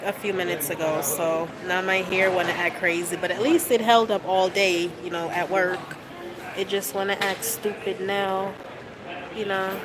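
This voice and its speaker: A woman's voice: mid-range voice, poor audio. A young woman with a stuffy nose from a cold, chatting casually to her fans on a live stream, with lots of fillers, holding the phone at arm's length. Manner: chatty, relaxed, with frequent fillers and laughs. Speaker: vlogger livestreaming to fans. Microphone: phone held at arm's length.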